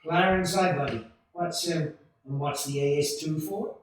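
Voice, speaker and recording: A man in a radio play playing a despairing, bitter and bewildered American family man who talks haltingly. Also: on the high side, close to an everyday voice. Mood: weary, skeptical. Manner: halting, sardonic, repeats himself. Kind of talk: 1940s radio play acting. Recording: very echoey room, distant microphone.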